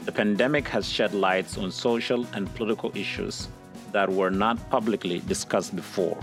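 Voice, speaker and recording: A man's voice: lowish voice, steady tone, normal speed. A man hosting an interview podcast, reading a formal, prepared introduction to the show and his guest. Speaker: podcast host. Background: soft music bed.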